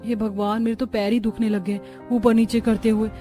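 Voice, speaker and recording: A young new bride in a cartoon joint family, acted by a woman, exasperated and dramatic. The voice is high and mellow-free, brightly edged, over a music bed. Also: little pitch movement, normal speed, lightly acted. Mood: weary, complaining.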